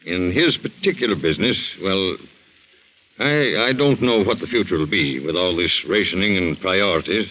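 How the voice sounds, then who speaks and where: A man's voice: low voice, animated, moderate pace. An actor playing a brusque, self-justifying middle-aged businessman in a 1940s radio drama. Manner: brusque, indignant. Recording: muffled 1940s radio recording.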